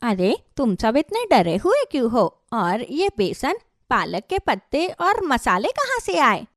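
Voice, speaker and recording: A woman voicing a kind, helpful female rabbit in a children's animal tale, gentle, encouraging and expressive. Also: high-pitched, unhurried, dry recording, clean studio sound, exaggerated voice. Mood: concerned. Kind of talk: children's cartoon storytelling.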